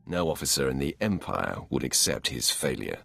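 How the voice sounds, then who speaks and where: An actor voicing an imperious, menacing dark lord in a video game, with a haughty, commanding delivery. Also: male voice, a bass voice, sing-song, normal speed, creaky, heavily acted. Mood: cold, commanding. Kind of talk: scripted game dialogue.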